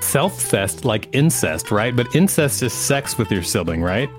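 A man's voice: medium pitch, sing-song, measured pace. A man hosting a podcast, reading aloud and chatting casually. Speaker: podcast host. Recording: music playing behind the voice.

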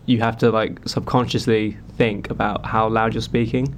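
A man's voice: on the low side, little pitch movement, normal speed, a little hiss or hum. A young man, a singer-songwriter, talking casually and rambling through stories with lots of fillers. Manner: rambling, filler-heavy storytelling. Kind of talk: casual chat.

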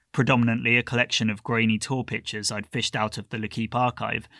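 A man's voice: mid-range voice, a bit bright, no room sound, studio quality. A man reading a long-form magazine article as scripted narration.

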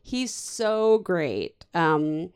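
A woman's voice: on the low side, big pitch swings, very slow, clean tone. A woman hosting a podcast, chatting casually with a guest, rambling and laughing often. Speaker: podcast host. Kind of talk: casual interview chat.

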